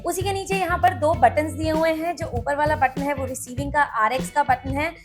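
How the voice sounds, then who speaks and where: A woman with a very high voice and an Indian accent presents a casual product demonstration. She explains each point directly to the viewer. Music plays clearly behind her. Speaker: product review host.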